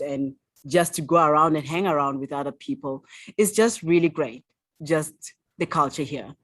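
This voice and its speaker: Upbeat South African woman author, low voice. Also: no echo. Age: 20s. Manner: enthusiastic, upbeat, laughs easily. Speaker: author guest. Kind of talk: casual interview chat.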